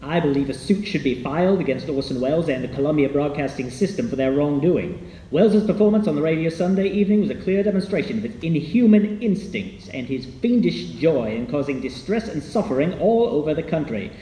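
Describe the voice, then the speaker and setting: Measured formal man, acted, wordy commentary, mellow tone, echoey room, radio docudrama. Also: fairly high pitch, animated, close to an everyday voice. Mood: indignant. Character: dry indignant commentator on the radio scandal. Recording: echoey, distant, faint noise.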